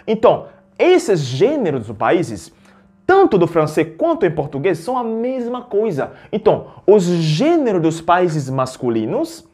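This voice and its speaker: A man who grew up speaking teaches a language lesson in Portuguese, explaining step by step and checking in with the learners. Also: sits high. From a French-speaking country. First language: French. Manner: explanatory, keeps checking in with "beleza?" and rephrasing with "ou seja". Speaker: French teacher.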